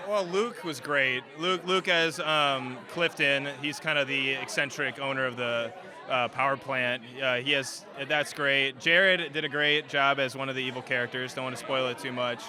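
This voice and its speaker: A man's voice: medium pitch, fairly even intonation, moderate pace. A man giving a rambling, informal, enthusiastic interview as a creative guest. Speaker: radio drama writer and director being interviewed. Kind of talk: casual interview chat. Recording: busy crowd chatter behind the voice.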